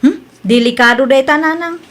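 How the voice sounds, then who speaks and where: Radio drama young wife played by a woman: Filipino, very high voice, fretful, pleading, worried about her husband. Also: normal speed, a bit bright. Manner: worried, questioning. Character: anxious, pleading young wife of a hot-headed driver. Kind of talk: Ilocano radio drama acting.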